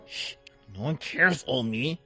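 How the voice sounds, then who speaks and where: A voice actor playing an awkward young man who rambles and later yells in frustration. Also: medium pitch, animated, slow, soft background music, exaggerated voice. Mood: sheepish.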